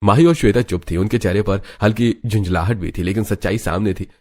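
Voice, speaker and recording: Storyteller: man with a lowish voice, narrating a scripted cartoon family drama. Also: fast talker, darkish tone.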